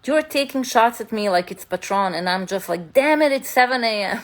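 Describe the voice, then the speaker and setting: A woman's voice: fairly high pitch, animated, a little fast. A woman from Serbia, a spiritual teacher and content creator, talking loosely in a live stream with many fillers and restarts. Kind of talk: casual live-stream talk. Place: home in New York City.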